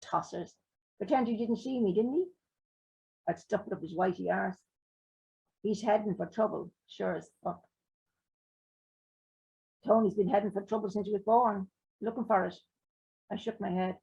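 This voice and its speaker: A blunt working-class man who swears freely, read by a woman in her own voice. The voice is husky with a gravelly edge. The sound is a dull, lo-fi live recording. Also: mid-range voice, moderate pace, pauses often, dark tone. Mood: gruff, blunt. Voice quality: rough, sweary talk.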